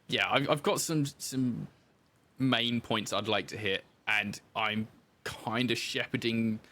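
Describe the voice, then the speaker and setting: A man's voice: on the low side, slow, sharp tone. A man being interviewed as a podcast guest, explaining things in a casual, informal conversational way.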